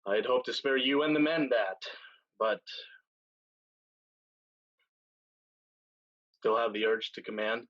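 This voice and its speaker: A man acting a seasoned, weary pirate captain in a staged play reading, speaking in a measured, deliberate way with dramatic pauses. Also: medium pitch, muffled recording, lightly acted. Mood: grave, instructive. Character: seasoned male pirate captain, weary, solemn, tender, once brash.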